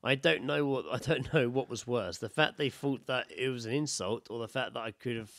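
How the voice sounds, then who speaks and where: A British man with a medium-pitched voice talks as a podcast co-host. He is casual and laddish, blunt and quick to swear, moving between banter and reading stories aloud. The sound is very dry. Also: a bit bright, dry recording.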